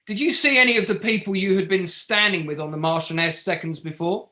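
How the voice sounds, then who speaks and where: Man acting male counsel, bright crisp tone, fairly high, polite, methodical, courtroom drama read. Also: fairly quick. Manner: formal, considerate. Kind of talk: table read of a courtroom play.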